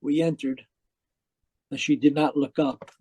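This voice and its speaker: Man playing desperate dead man, soft mellow high voice. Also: fairly even intonation, husky. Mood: haunted, weary. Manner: pleading, dramatic, halting. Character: desperate, tormented dead man narrating his afterlife. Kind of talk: old-time radio mystery acting. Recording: live video call performance.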